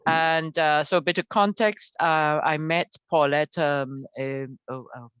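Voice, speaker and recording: A woman lecturer speaks hesitantly over a video call, often pausing with fillers and restarting her sentences. Her voice is smooth and clear, and the dry, close sound is a little dull and lo-fi. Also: low-pitched, fairly even intonation, no echo. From Guyana. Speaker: senior lecturer. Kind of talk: academic webinar introduction.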